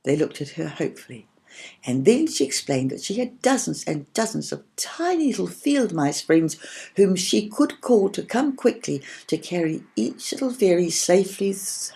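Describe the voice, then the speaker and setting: A woman reads a children's story aloud in a low, mellow voice with a noticeable creak. Her delivery is that of a scripted audiobook narrator, expressive in the telling and gently shaping the dialogue. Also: normal speed. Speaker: audiobook reader.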